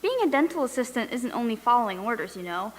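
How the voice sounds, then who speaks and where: A woman plays an eager young dental assistant in an audio drama. She is high-pitched, earnest and curious, chatting away in a reverberant hall. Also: sing-song, fast. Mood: cheerful, chatty.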